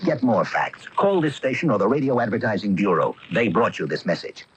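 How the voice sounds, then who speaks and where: A man's voice: on the low side, big pitch swings, brisk, breathy edge, poor audio. A man reading a scripted radio promotional spot in emphatic, punchy phrases. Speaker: advertising announcer.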